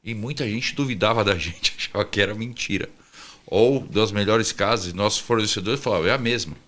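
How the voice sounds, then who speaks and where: Middle-aged man, lowish voice, informal guest. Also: normal speed, a bit bright. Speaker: guest, restaurant chain founder and chief executive. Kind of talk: casual interview chat.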